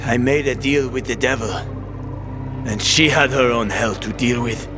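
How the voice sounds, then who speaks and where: A man's voice: mid-range voice, normal speed, natural voice. A male actor playing a bitter, cornered betrayer in a dramatic video game, world-weary and defensive as he justifies himself. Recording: game music behind the voice.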